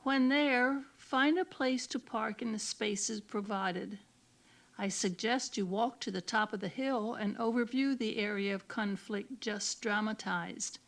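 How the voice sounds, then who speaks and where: A woman's voice: a little high, gravelly. A woman reading scripted, instructional narration as an audio tour guide.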